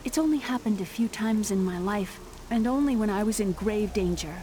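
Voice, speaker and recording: A woman's voice: medium pitch, animated, normal speed, warm tone, a little hiss or hum, lightly acted. An actress playing an earnest, curious young woman in a fantasy video game. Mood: confiding. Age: young adult.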